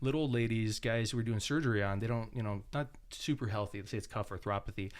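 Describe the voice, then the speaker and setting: Male podcast host, rambling, filler-heavy delivery, voice sits a bit low. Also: fast talker. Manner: rambling, heavy use of "like" and "you know". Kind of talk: casual interview chat.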